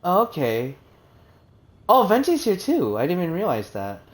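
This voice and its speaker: Slow-talking, chatty game streamer man. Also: high-pitched, huge pitch swings. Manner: chatty, emotional, sighing. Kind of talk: casual live gameplay commentary.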